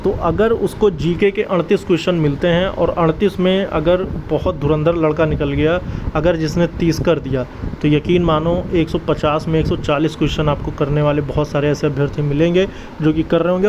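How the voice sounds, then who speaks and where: A man with a somewhat high voice speaks as an online advisor, earnest and exhorting. His delivery is informal commentary aimed straight at the listener. The sound is dull and lo-fi, with clear ambient vehicle noise behind him. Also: steady tone, normal speed. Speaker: exam-update video creator and advisor. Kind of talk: informal exam news commentary and advice. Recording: dull lo-fi audio, clear outdoor traffic-like noise.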